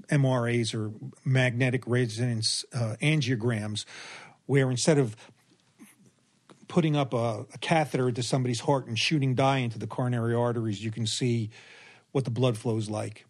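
A man's voice: medium pitch, steady tone, moderate pace. A middle-aged man speaking as a doctor and podcast guest, explaining things casually in a matter-of-fact way.

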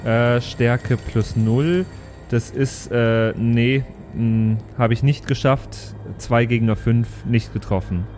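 A man's voice: medium pitch, steady tone, takes it slowly, darkish tone. A man chatting casually as a player in an improvised tabletop role-playing podcast. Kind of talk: casual improvised game banter. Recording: faint music under the voice.

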